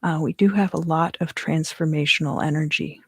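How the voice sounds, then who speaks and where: A woman giving an ASMR tarot reading, soft-spoken and often whispering, explaining things unhurriedly in short phrases, close to the microphone. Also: sits low, steady tone, normal speed, slightly rough, no room sound. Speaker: tarot reader and ASMR host.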